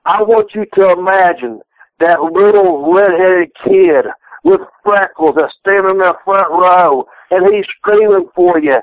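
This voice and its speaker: A man's voice: high-pitched, unhurried, dry recording. Profane Southern man on a phone line. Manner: rambling, profane, folksy, restarts a lot. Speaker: retired pro wrestler guest. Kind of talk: candid storytelling interview. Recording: tinny phone line, dry.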